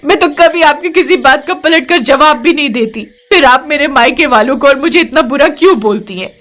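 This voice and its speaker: A woman's voice: high voice, fairly quick, a bit bright. A woman voicing a meek, put-upon young daughter-in-law who pleads earnestly in a moral story. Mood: hurt, tearful.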